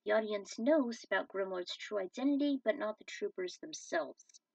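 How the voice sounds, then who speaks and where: A woman's voice: medium pitch, animated, normal speed, vocal fry, darkish tone, no room sound. A woman narrating and commenting on her own fan fiction as an amateur storyteller. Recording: dull, slightly distant microphone.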